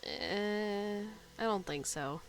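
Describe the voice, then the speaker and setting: A woman's voice: medium pitch, wildly swooping pitch, drawn-out words, music in the background. A woman streamer giving casual, offhand commentary as she plays a game and reads out dialogue choices. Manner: informal, offhand. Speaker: streamer playing and reacting to a game. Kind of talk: casual gameplay commentary, reading dialogue options.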